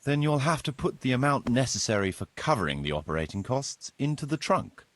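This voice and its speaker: An actor playing a courteous, businesslike medieval village steward in a video game, explaining duties in a measured, formal way with a slightly husky voice. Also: male voice, medium pitch, lively intonation, fairly bright tone, dry recording, natural voice. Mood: explanatory.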